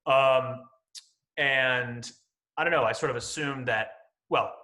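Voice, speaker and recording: A man in his late thirties speaks as an interview guest with a bright, forward voice. His pitch is average for a man. He explains things conversationally, hedging and checking in as he goes. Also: slow pace, poor audio. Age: late 30s. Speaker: podcast guest, company CEO.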